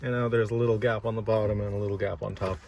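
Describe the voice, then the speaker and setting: A man's voice: fairly low pitch, flat delivery, racing, a little mellow. A man giving a casual, methodical how-to walkthrough, explaining each step as he works inside a car. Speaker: DIY installer presenting his project. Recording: clear background noise inside a car.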